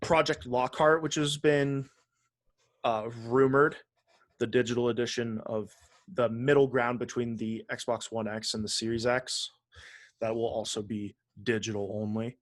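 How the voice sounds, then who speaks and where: A man's voice: medium pitch, flat delivery, a little slow. A man co-hosting a video game podcast, chatting casually in a blunt, opinionated way. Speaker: podcast host.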